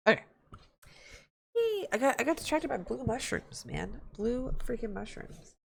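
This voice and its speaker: A woman's voice: a little high, sing-song, a little slow, vocal fry, breathy edge. An American woman live streamer chatting casually as she games, informal, sweary and easily distracted. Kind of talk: casual gaming livestream chat.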